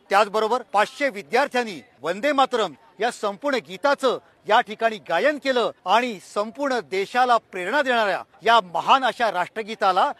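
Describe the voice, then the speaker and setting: High-voiced man, dry close sound. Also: a bit bright, no echo. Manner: formal, explanatory. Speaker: head of a history society. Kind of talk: interview statement.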